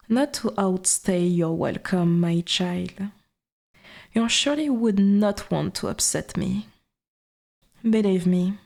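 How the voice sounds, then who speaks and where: A woman voicing an ancient, dominant forest god in an ASMR roleplay, speaking in formal, archaic wording with a breathy half-whisper and quiet menace. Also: on the low side, heavily acted.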